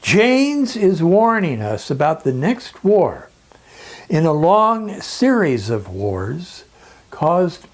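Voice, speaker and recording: Guy giving a serious scripted talk, really slow and emphatic, pitch moves around a lot, dramatic pauses. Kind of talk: scripted persuasive lecture narration.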